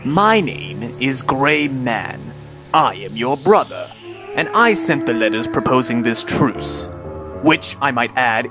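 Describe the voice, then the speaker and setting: A man's voice: medium pitch, lightly acted. A man voicing a cold, cunning old man in a comic dub, precise and pompous with open contempt. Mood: dry scorn. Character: cold, cunning old man, scornful long-lost brother. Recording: voice over background music.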